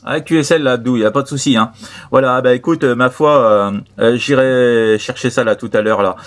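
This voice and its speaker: A man's voice: mid-range voice, brisk. A man chatting casually as an amateur radio operator, repeating himself with friendly sign-offs. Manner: repetitive, filler-heavy, friendly sign-offs. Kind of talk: casual radio contact chat.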